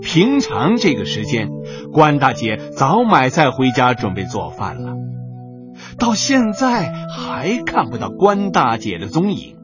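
A man's voice: mid-range voice, huge pitch swings, measured pace. A man narrating a comic radio drama in a scripted storytelling style. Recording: dull sound, music behind. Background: music.

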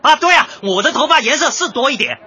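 Cocky young dj in a comedy radio play, high sharp voice, swings all over, argues and lectures. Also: male voice, expressive, fast, heavily acted. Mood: indignant, lecturing. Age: young adult. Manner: brash, glib, protesting, lecturing. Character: cocky, argumentative young dyed-hair male DJ. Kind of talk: light comedy radio drama acting.

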